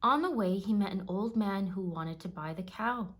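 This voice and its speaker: A woman narrating a children's storybook aloud in a measured, expressive storytelling manner. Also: medium pitch.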